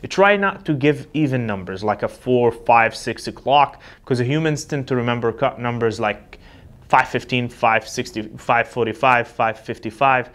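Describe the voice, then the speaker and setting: A man's voice: mid-range voice, normal speed, a bit bright. Man giving an emphatic sales training talk. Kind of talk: instructional talk with acted example dialogues. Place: indoor studio with backdrop.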